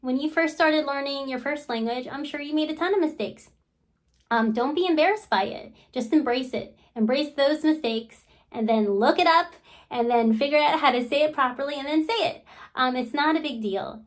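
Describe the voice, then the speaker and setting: A woman talking to her viewers in a relaxed, chatty vlog style. Her voice sits a little high, and she fills pauses with frequent ums and you-knows. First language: English. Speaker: channel host. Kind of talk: casual talk to camera.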